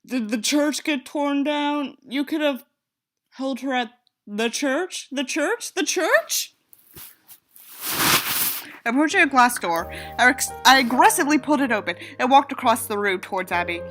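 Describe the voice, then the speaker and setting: A high-voiced woman reads a novel aloud and comments on it with open exasperation. Her tone is sharp and bright, sarcastic and peppered with swearing. Faint music sits behind her. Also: expressive, a little slow. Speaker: audiobook reader and commentator. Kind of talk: sardonic commentary while reading a novel aloud.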